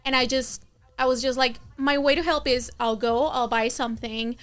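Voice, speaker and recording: A woman's voice: sits high, steady tone, moderate pace, a bit bright. A woman from Colombia with a Colombian accent, a food blogger chatting as a guest and often laughing. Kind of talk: casual interview chat.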